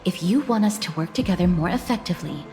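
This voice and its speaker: An actress as a formal young goddess in echoing hall. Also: female voice, lowish voice, animated, lightly acted. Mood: firm, guarded. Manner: formal, earnest, measured. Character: polite, guarded, principled young goddess of death. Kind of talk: video game voice acting. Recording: long hall-like echo, music underneath. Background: game music.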